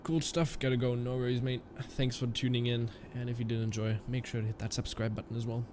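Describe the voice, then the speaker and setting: A man's voice: mid-range voice, flat delivery, a little fast, background noise. A man live-streaming a flight simulator, chatting casually with viewers and rambling through fillers and restarts.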